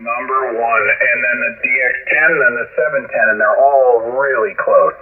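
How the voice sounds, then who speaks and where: Man, ham radio operator, husky voice, casual chat, tinny shortwave transmission. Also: mid-range voice, moderate pace. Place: over a ham radio link. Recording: narrow, lo-fi shortwave radio audio.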